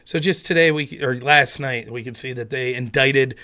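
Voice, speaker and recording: A man's voice: mid-range voice, normal speed, sharp tone. A man from Pennsylvania hosting a casual livestream on a headset at home, grumbling to himself with mild curses and airing blunt political opinions.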